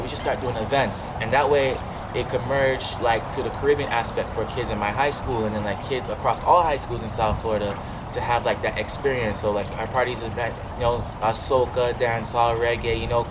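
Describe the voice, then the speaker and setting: A young man from Trinidad answering interview questions in a relaxed, rambling way, full of "like" and "you know". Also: mid-range voice. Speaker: interviewee, event promoter. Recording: loud background noise.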